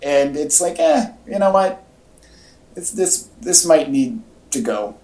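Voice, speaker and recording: A man's voice: a little high, big pitch swings, slow, warm tone. Echoey man chatting about pop culture. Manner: informal, enthusiastic fan talk. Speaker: podcast co-host. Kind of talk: casual pop-culture chat. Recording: echoey room podcast audio.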